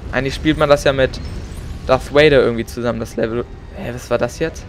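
A man's voice: medium pitch, steady tone, quick pace, soft background music. A young man casually commenting on his own gameplay, chatty and joking. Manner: chatty, joking, mixes in playful English. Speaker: let's play gamer.

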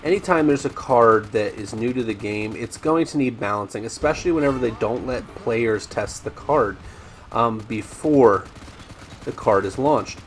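A man commentating over his own gameplay, chatty and rambling with ums and restarts, his voice slightly raspy today. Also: mid-range voice, normal speed, slight background noise. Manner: rambling, frequent ums and restarts, addresses viewers as guys. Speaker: gaming YouTuber.

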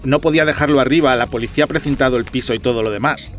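A man's voice: medium pitch, racing, fairly bright tone, faint music behind, close to an everyday voice. An actor playing a chatty, observant, slightly self-important doorman in a radio drama, talking colloquially with a repeated verbal tic. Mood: resigned. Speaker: building doorman.

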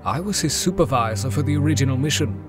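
A man portrays a principled, diplomatic Jedi master in an audio drama. His mid-range voice is polite and measured. Music plays faintly behind. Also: medium pitch, animated, natural voice. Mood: resolute. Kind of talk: fan audio drama acting.

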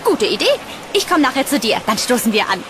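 Young student, played by a woman: high voice, expressive, horror radio play, faint ambience behind. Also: brisk, background noise. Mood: excited, cheerful. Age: young adult. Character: chatty, upbeat young female student. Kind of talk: German audio horror drama acting.

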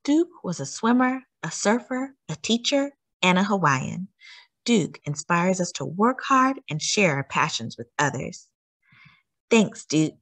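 A woman reads a children's story aloud with a lively, swooping intonation. Her voice sits at a medium pitch with a husky edge, and she leans on key words for young listeners. The sound is close and dry. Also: no echo. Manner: animated, emphatic delivery with drawn-out words. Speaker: storytime host reading aloud.

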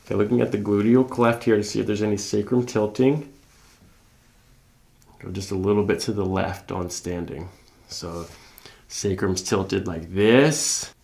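A man, middle-aged, working as a chiropractor, calmly talking a patient through each step of an exam in a small office. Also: fairly low pitch, unhurried, vocal fry, long pauses. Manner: explains each step before doing it. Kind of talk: calm clinical exam talk to a patient. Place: small carpeted clinic office.

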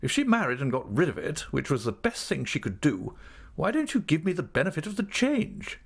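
A man voicing a stern, brusque elderly great-aunt in a storybook, with a crisp, commanding manner. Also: on the high side, wildly swooping pitch, brisk, pitched above the performer's own voice, full character voice. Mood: indignant. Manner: crisp, commanding, bluntly disapproving.

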